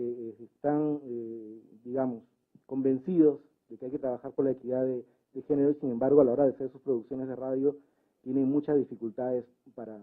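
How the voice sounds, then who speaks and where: A man speaking in a debate, reflecting aloud in a halting way with frequent tag questions. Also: mid-range voice. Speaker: debate participant working with community radios. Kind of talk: spontaneous reflective discussion. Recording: narrow, phone-like debate recording.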